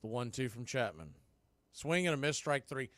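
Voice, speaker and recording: A man doing radio baseball play-by-play, making steady calls mixed with conversational analysis. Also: mid-range voice, moderate pace, dry recording, studio quality. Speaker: play-by-play announcer.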